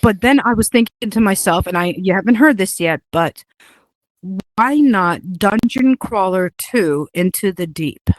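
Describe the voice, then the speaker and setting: A woman's voice: medium pitch, lively intonation, a little slow, no echo, poor audio. A woman whose first language is Hungarian, chatting casually about building a game, rambling with many fillers and laughs. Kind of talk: casual chat.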